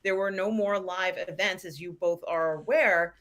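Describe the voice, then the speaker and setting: A middle-aged woman co-hosting a show, chatting reflectively in a measured, deliberate way with pauses. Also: on the low side, fairly even intonation, a bit bright. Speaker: co-host of a fan live show. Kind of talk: casual reflective chat.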